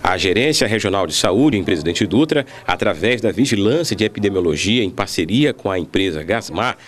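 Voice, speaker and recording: A man's voice: lowish voice, lively intonation, a little fast. A male reporter reading a scripted news voice-over. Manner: scripted, newsreader delivery.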